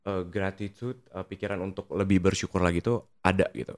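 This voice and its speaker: A young man with an Indonesian accent and a lowish voice. He talks as a guest telling his own story in a casual way, slipping English phrases into his sentences. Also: level tone, brisk. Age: 20s. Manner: frequent English phrases mixed into speech. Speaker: podcast guest, skincare content creator and entrepreneur. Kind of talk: casual personal storytelling in an interview.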